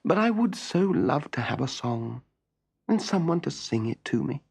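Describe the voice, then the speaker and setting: A man's voice: a little high, sing-song, moderate pace, gravelly, darkish tone, husky, full character voice. A man voicing a wistful, polite and slightly vain little male slug in a storybook, plaintive and earnest.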